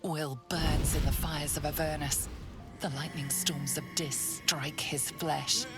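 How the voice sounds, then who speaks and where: A woman's voice: low-pitched, flat delivery, slow, over loud music. An actress playing an ominous infernal woman in a video game, solemnly intoning a curse like an incantation. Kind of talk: dramatic video game voice acting.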